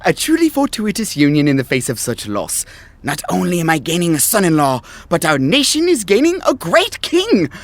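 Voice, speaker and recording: A pompous royal patriarch from a modern-court audio drama. His high voice swoops extravagantly as he delivers flowery, effusive pronouncements. The manner is theatrical and silky. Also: wildly swooping pitch, moderate pace, dry recording.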